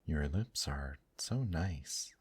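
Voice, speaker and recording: A man voicing a gentle, teasing male demon in an intimate ASMR roleplay, speaking softly and courteously to the listener. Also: a bass voice, expressive, slightly rough, no echo, exaggerated voice. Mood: whispered, intimate. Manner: slow, soft, courteous, affectionate teasing with endearments. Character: gentle, teasing male demon brothel worker, tender and attentive.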